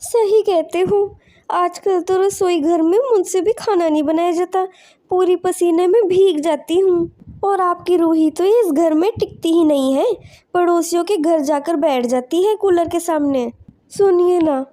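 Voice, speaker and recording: A woman acts out a poor, anxious wife and mother from an animated folk tale. Her voice is very high and soft-toned, plaintive and earnest as she urges her family. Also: unhurried. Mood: plaintive, urging. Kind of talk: animated moral story dialogue.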